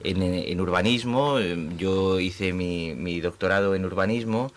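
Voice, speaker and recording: Spanish-accented male academic, low voice, hesitant radio guest. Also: measured pace. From Spain. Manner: hesitant, many fillers and restarts. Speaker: guest, urban planning professor. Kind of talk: radio interview. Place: radio studio.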